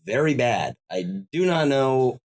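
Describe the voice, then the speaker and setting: A man's voice: medium pitch, lively intonation, a little slow, a little mellow. Blunt, enthusiastic man chatting. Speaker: podcast guest. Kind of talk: casual chat.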